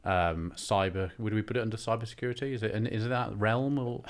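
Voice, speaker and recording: A man's voice: lowish voice, steady tone, fast. A man hosting a business podcast, chatty and joking, asking long, rambling questions. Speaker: podcast host. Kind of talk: casual business podcast chat.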